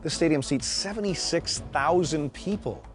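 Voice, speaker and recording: A Canadian man co-hosting a travel show, joking and bantering with quick patter outdoors in a city. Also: fairly high pitch, animated, faint music behind. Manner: jokey and playful, quick patter.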